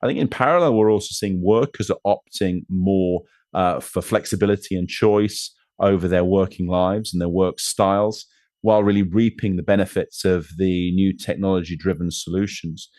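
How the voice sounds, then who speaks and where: A low-voiced man speaks at a conference with a dry, close sound. He talks in a halting way, with many fillers and restarts. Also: fairly even intonation, darkish tone, no room sound. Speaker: panel speaker. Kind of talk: conference remarks.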